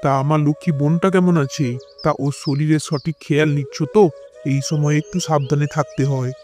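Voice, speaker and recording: A man's voice: on the high side, steady tone, normal speed, a little mellow, lightly acted. A man playing a kindly, caring married brother-in-law in a cartoon story. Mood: friendly, caring. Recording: music bed behind the voice.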